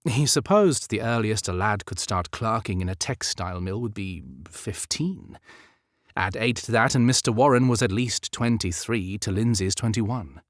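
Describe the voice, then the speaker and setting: A man's voice: on the low side, moderate pace, no room sound, studio quality. A man narrating a period romance audiobook as scripted fiction.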